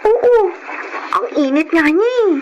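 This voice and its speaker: A very high, girlish voice plays a devoted little sister in a Filipino radio drama. She is plaintive and emotional, with clear noise behind her. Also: animated, unhurried. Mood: concerned. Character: anxious, devoted schoolgirl youngest sister.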